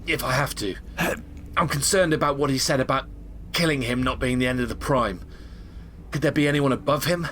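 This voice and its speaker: A man's voice: medium pitch, fairly quick, pauses often, fairly bright tone, a little hiss or hum, lightly acted. A man as a stern battle-worn agent. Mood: concerned. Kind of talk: audio drama acting.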